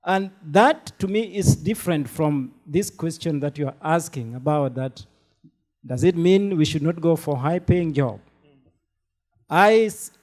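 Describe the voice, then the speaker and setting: Measured man from Kenya on a panel. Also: a little high, a little mellow. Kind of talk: panel discussion answer.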